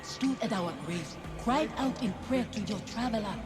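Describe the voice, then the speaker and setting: Actress as bitter, accusing ghost of a wife. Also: female voice, fairly high pitch, expressive, music in the background, close to an everyday voice. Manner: measured, cutting, reproachful. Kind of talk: dramatic video game acting.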